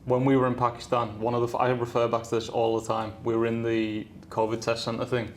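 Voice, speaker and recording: Podcast host guy, talks super fast, eager slangy chat, bit of faint room noise. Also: mid-range voice, completely flat delivery. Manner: quick, eager and chatty, full of slang. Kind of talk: casual chat.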